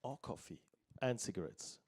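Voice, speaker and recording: A man chairing a planning meeting, steering the discussion with a gentle, teasing humor. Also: mid-range voice, lively intonation, moderate pace, slight background noise.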